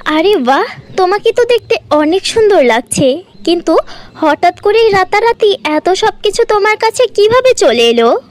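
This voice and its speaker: A woman voicing a jealous, nosy young girl in a cartoon, loud and prying. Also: very high voice, sing-song, normal speed. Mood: surprised prying.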